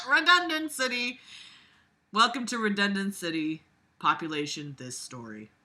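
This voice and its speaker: A woman's voice: medium pitch, lively intonation, a little slow, sharp tone. A woman reading aloud theatrically and breaking off into exasperated, sweary, sarcastic asides, with an exaggerated delivery. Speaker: online reader reacting to a book.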